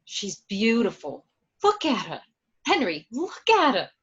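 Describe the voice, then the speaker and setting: A woman's voice: on the high side, huge pitch swings, fairly bright tone, full character voice. A woman playing a gushing, doting gangster's wife in a radio drama, drawing out her words, on a dull recording. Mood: adoring. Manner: gushing, drawn-out.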